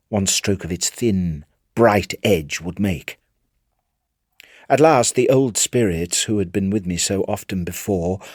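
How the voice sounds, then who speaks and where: A man's voice: lowish voice, no room sound, clean studio sound. A British man playing a cunning, haunted madman in a dramatic gothic story reading, theatrical and savoring his words. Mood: hushed menace. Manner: theatrical, savoring words, dropping toward hushed menace. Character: cunning, gleeful, haunted madman confessing his murderous secret. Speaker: narrator.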